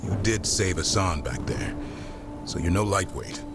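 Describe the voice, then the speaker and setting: A man playing a gruff, wary monster-hunting warrior with a dry, guarded manner in a fantasy video game. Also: sits low, lively intonation, a little slow. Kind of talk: video game cutscene voice acting. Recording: game music under the voice.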